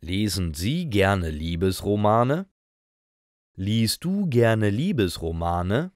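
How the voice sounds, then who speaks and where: Very slow man with lively intonation. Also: fairly low pitch, no room sound, studio quality. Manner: very slow, lively intonation, each phrase set apart. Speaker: lesson speaker reading example phrases. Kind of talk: scripted example sentences.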